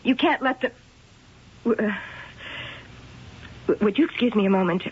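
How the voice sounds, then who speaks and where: A woman's voice: medium pitch, lively intonation, normal speed, a little hiss or hum, natural voice. An actress playing a genteel, secretive young woman who grows nervous, in 1970s radio acting. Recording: lo-fi old radio broadcast.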